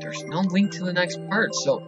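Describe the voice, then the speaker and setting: A man reacting to a story, excitable and thinking out loud, swearing now and then. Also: high-pitched, moderate pace, over music. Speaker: reaction video host. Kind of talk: casual reaction commentary. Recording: dull lo-fi home recording.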